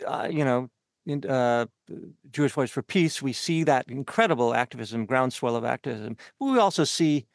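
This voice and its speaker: A man from Canada hosting a podcast interview, asking long earnest questions with many halting fillers. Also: medium pitch, animated, no echo, studio quality.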